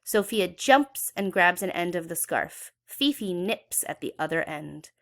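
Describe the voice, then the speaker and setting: A woman's voice: lowish voice, animated, unhurried, creaky. A woman reading a children's story aloud expressively, with dramatic emphasis for young listeners. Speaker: children's book read-aloud educator.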